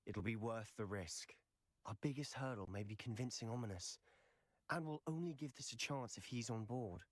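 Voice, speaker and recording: A man acts out a teenage student wizard for a video game, determined and a little reckless. He speaks earnestly and presses his point with persuasive insistence, in a mid-pitched voice. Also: moderate pace, no room sound, studio quality, natural voice. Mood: confident, scheming. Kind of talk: scripted video game dialogue.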